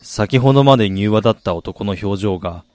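A man's voice: lowish voice, a little fast, darkish tone, natural voice. An actor playing a reflective, polite soccer fan who also narrates his own story in a radio drama. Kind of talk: Japanese radio drama acting with first-person narration.

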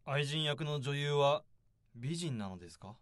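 Radio drama confidant: polite, intent questioner, lightish high male voice, very animated delivery. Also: measured pace, no echo, studio quality. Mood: probing, intent. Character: attentive male listener prompting a confession with questions.

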